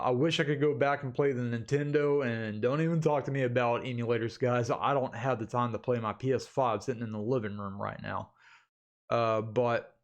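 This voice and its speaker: A man hosting a channel, talking informally and chattily to his viewers in a casual, rambling vlog style. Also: medium pitch. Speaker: YouTube channel host.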